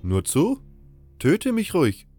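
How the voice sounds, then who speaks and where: A man voicing a calm, firm protector of newcomers, composed and authoritative, in a fantasy audio drama. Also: fairly high pitch, slow, loud music behind the voice, voice pushed up, heavily acted. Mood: calm, defiant.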